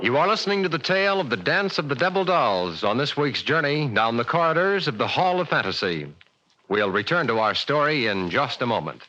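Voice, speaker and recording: Casting: male old-radio announcer, lively measured delivery, muffled broadcast sound with music. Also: mid-range voice.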